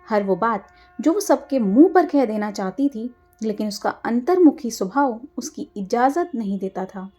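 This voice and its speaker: A woman's voice: on the high side, mellow. A woman storyteller reading scripted narration of a story.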